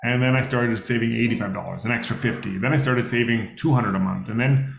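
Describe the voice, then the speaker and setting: Man, 30s, workshop presenter; easygoing, conversational delivery, mid pitch, echoey room sound. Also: brisk, creaky. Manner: conversational, many fillers, asks the audience questions. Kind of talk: casual workshop lecture. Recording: roomy echo.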